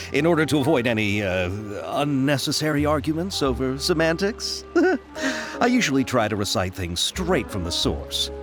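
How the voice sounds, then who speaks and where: A man's voice: mid-range voice, very animated, normal speed, close to an everyday voice. A man playing a chatty, courteous producer. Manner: courteous, wordy, given to nervous laughs. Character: polite, eager young male film producer. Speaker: game character. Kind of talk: video game voice acting. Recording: game audio with soft music.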